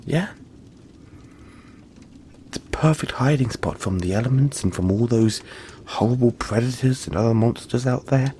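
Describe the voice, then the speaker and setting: A man playing a huge, gentle, cuddly yeti boy in an intimate audio roleplay, talking politely and haltingly to reassure the listener. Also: mid-range voice, a little hiss or hum, lightly acted. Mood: gentle, explanatory. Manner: soft-spoken reassurance, halting, polite and chatty.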